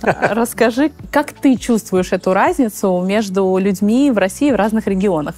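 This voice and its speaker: Young woman, interviewer, mid-pitched voice, measured questions, lapel mic. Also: darkish tone, soft background music. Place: exhibition hall indoors.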